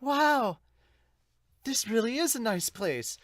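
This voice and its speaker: A man voicing a brash, carefree teenage boy chef, blunt and excitable, in a manga dub. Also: extremely high pitch, takes it slowly, no room sound, pitched above the performer's own voice, full character voice. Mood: excited.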